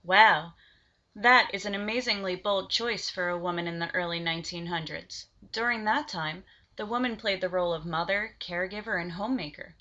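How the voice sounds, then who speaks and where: A woman's voice: lowish voice, normal speed, sharp tone, muffled recording. A woman acting as interviewer, reading formal, admiring questions in a scripted interview.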